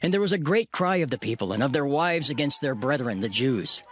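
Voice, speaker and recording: A man narrating a dramatized King James Bible reading in a solemn, measured way. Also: mid-range voice, expressive, a bit bright. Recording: narration over clear music bed.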